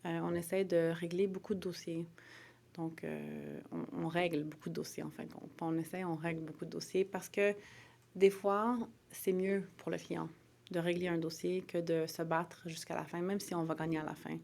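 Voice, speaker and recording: A woman's voice: on the low side, level tone, moderate pace, vocal fry, a little mellow. A woman from Montreal with a Quebec French accent, answering interview questions conversationally in a hesitant way, often repeating and rephrasing her words. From Montreal, Quebec, Canada. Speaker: interview guest, lawyer.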